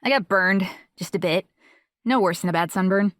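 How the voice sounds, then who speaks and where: A woman's voice: medium pitch, moderate pace, fairly bright tone, dry recording, studio quality, close to an everyday voice. A young woman playing a self-assured, talkative friend who reassures a worried parent in a fluent, matter-of-fact way in an audiobook. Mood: casual, confiding. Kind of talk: audiobook dialogue acting.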